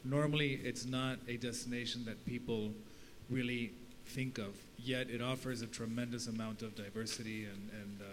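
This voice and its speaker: A man's voice: mid-range voice, fairly even intonation, normal speed, few pauses. A man from Guatemala putting a spontaneous question to a conference panel from the audience. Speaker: audience member asking a question. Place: conference audience, handed microphone. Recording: faint hum and background noise.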